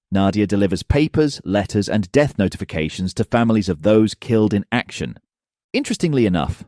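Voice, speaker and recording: A man's voice: lowish voice, warm tone, dry recording, clean studio sound. A man narrating a scripted video game review as the host of the channel.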